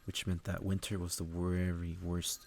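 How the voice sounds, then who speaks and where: A man reading a story aloud in a soft, subdued ASMR style, unhurried and gravelly. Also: completely flat delivery, slight background noise. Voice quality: low, gravelly, soft-spoken. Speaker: ASMR reader.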